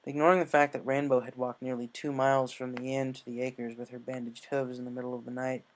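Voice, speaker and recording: A man reading a scripted story as narrator, in a measured way with occasional stumbles. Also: mid-range voice, level tone, low-quality recording. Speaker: story reader.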